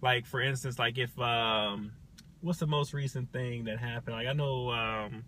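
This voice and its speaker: A man's voice: mid-range voice, fairly even intonation, measured pace, pushed and bright, slight background noise. A man chatting casually as a livestream guest, telling anecdotes and giving opinions in an informal, rephrasing way.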